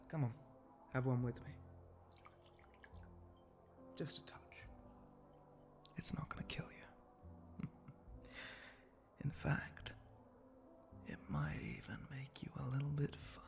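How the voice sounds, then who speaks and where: Man voicing a breathy, flirty spider-monster bartender. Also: medium pitch, lively intonation, over music. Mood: teasing, coaxing. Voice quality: breathy, often dropping to a whisper. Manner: slow, seductive, teasing drawl with soft chuckles. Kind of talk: intimate ASMR roleplay.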